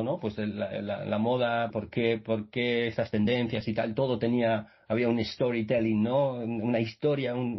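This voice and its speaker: Brief: male guest, husky voice, meandering anecdotes, muffled call audio. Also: fairly low pitch. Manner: rambling with many restarts and fillers. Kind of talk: casual storytelling in a radio interview.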